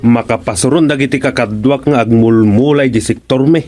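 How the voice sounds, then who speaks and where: A man's voice: medium pitch, moderate pace, darkish tone, faint music behind, natural voice. An actor playing a grumbling, indignant village man in a radio comedy drama.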